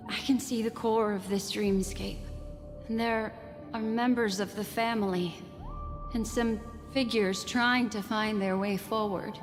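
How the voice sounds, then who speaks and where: An actress playing a composed, elegant mystic guide in a video game, speaking in a measured, formal way. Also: female voice, medium pitch, close to an everyday voice. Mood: focused, intent. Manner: measured, formal, deliberate phrasing. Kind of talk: dramatic video game voice acting. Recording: long hall reverb under game music.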